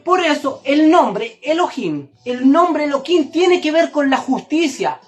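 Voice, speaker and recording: A woman's voice: high voice, lively intonation, unhurried, soft background music. A woman giving a religious teaching, speaking directly to the listener in an emphatic, didactic way and repeating phrases for stress. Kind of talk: Torah and Kabbalah sermon, direct address to listener.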